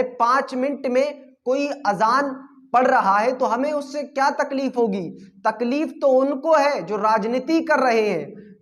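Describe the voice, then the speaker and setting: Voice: sits high, a bit bright. Emphatic commentator with rhetorical delivery. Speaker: host of a video commentary channel. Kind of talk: news commentary addressed to viewers.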